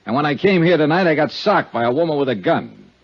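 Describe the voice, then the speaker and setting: An American actor playing a wry, hard-boiled insurance investigator in a 1950s radio drama, with clipped, punchy delivery. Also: male voice, fairly high pitch, expressive, fast talker. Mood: brisk, serious. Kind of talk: 1950s radio detective drama acting, narrated expense account. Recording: dull 1950s radio recording with music.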